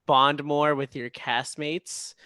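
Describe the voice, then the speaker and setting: Casual, halting man on a video call. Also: fairly high pitch, level tone, bright, forceful tone, clean tone, no echo, studio quality. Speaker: actor in a cast Q and A. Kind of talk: casual chat.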